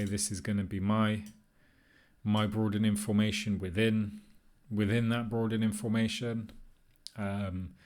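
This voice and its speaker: Man, lowish pitch, informal explainer, tutorial presenter, tentative, easygoing, thinking aloud. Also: darkish tone.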